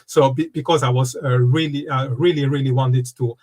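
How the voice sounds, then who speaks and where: A man in his fifties from the Democratic Republic of Congo, a wellness coach speaking as an interview guest, talking in a halting way with many fillers and restarts. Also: mid-range voice, fairly even intonation, darkish tone. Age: 50s. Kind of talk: casual interview conversation.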